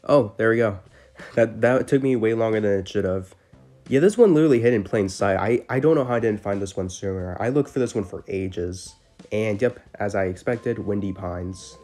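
A man's voice: on the low side, dark tone. A man giving casual, slangy commentary over gameplay as a gaming video host. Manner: informal, slangy. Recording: music behind the voice.

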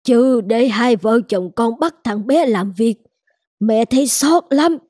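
A woman's voice: fairly high pitch, slow pace, darkish tone, slightly rough, dry recording, clean studio sound. A woman playing an indulgent, stubborn old grandmother in a cartoon, doting and dismissive of advice. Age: older. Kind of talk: scripted cartoon dialogue.

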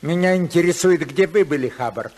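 Stern tycoon patriarch, animated, raspy, commanding, vintage radio drama. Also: male voice, a little high, brisk. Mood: brisk, imperious. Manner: imperious, demanding. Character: commanding, domineering magnate and stern father. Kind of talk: 1950s Soviet radio drama acting.

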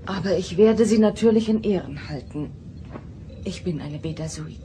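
A woman playing a composed young woman, lowish voice. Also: moderate pace, mellow, soft background music, natural voice. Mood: earnest, restrained. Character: composed, dutiful young half-alien woman, exasperated daughter. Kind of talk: dubbed sci-fi audio drama acting.

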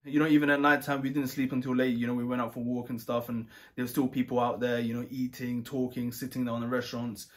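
A man talks casually at home, loose and rambling, full of "you know" and restarts. His voice sits at a middle pitch. He sounds easygoing and conversational. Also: fast talker, hardly any pauses. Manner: rambling, relaxed, many fillers and restarts. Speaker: travel vlogger. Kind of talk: casual chat.

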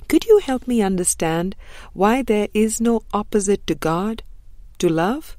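A woman reading a spiritual book as an audiobook narrator, measured and deliberate, with frequent pauses. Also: mid-range voice, lively intonation, warm tone, no echo, studio quality. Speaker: audiobook reader.

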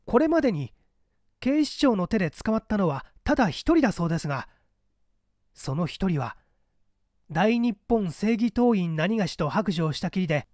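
A man's voice: sits high, very animated, normal speed, darkish tone, no echo, clean studio sound. A man voicing an earnest, anxious secretary in an audiobook, speaking urgently and respectfully to his superior. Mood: earnest warning. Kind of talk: audiobook character acting.

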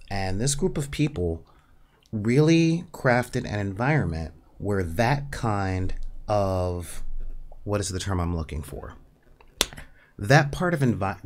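A man in his forties with a nasal voice hosts a casual livestream, thinking aloud with many pauses and fillers in a small home studio. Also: on the low side. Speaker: livestream host. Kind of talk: casual livestream talk about tabletop gaming. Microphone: studio mic on a desk stand.

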